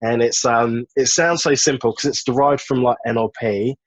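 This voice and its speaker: A man as a podcast guest, giving relaxed job advice with many fillers in casual chat. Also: medium pitch, moderate pace, dry recording, rough recording. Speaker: guest, job search business director.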